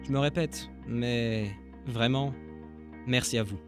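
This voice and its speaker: A man's voice: mid-range voice, slow, faint music behind. A man narrating a casual vlog as an amateur dubber, informal and self-deprecating. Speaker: amateur voice dubber and channel creator.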